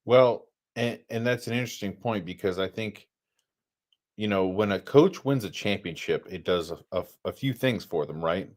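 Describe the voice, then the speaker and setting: A man's voice: on the low side, normal speed, darkish tone. A man co-hosting a podcast, chatting casually and building his points step by step. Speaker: podcast co-host. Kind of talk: casual sports chat.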